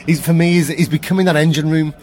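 A man from with the local talks fast and keenly, breaking down a match as a fan. His voice sits a little high. Bar chatter carries behind him. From Liverpool, England. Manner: enthusiastic, animated analysis. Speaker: football fan interviewee. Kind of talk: excited post-match fan talk. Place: crowded bar.

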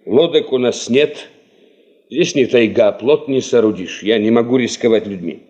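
An actor playing a principled, sceptical, cautious geologist who leads a field party in a Soviet radio drama, speaking formally and precisely. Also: male voice, medium pitch, moderate pace, a little mellow, lo-fi sound, close to an everyday voice. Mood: cautious, matter-of-fact.

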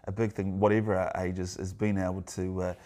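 Casting: very low-voiced New male guest, hesitant, chatty. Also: flat delivery, dark tone. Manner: halting, repeats words, many fillers. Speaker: guest, author and artist. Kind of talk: casual chat.

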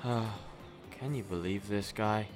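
A man's voice: on the low side, slow, faint music behind. A man playing a nervous, apologetic killer in a darkly comic radio play, stammering and rambling with plenty of swearing. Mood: baffled. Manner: stammering, hesitant, rambling, foul-mouthed.

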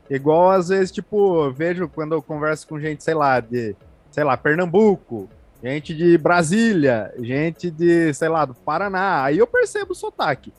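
A man with an interior São Paulo accent from the Sorocaba area, hosting a podcast in a laid-back, slangy, teasing way. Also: a little high. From Sorocaba region, São Paulo state. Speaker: podcast host. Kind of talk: casual chat.

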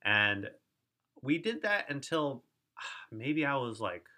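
A man's voice: mid-range voice, takes it slowly. A man, telling stories in a casual podcast chat and often checking in with "right?". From Korea. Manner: relaxed, chatty, frequent restarts and "right?" checks. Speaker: podcast co-host. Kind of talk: casual conversational storytelling.

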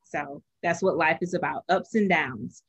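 A woman's voice: low-pitched, unhurried, fairly bright tone, husky. A woman hosting an interview show, upbeat and encouraging toward her guest. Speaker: podcast host.

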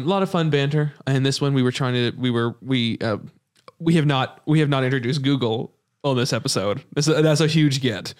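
Young man hosting a rambling podcast chat. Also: medium pitch. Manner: rambling, restarts, plugging the show. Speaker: podcast host. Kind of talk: casual chat. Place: home studio room.

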